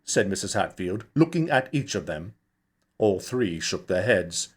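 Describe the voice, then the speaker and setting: A man reading a novel aloud as narrator, in an expressive, theatrical storytelling style. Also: low-pitched, unhurried, husky. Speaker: audiobook narrator, actor. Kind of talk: dramatic scripted novel narration.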